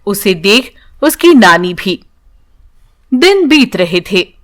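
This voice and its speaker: A woman's voice: medium pitch, sing-song, slow pace, fairly bright tone. A woman narrating a scripted bedtime story as a storyteller.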